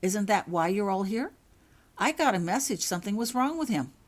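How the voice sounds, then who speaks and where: A woman's voice: medium pitch, lively intonation, normal speed, voice pushed up, natural voice. A woman voicing an earnest, concerned male wizard professor in a storybook reading, anxious and explanatory.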